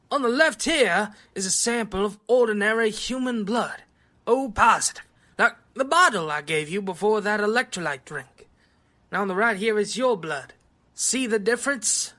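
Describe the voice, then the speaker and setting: A woman playing an excitable, absorbed scientist doctor in an audio drama, precise and given to muttering. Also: mid-range voice, exaggerated voice.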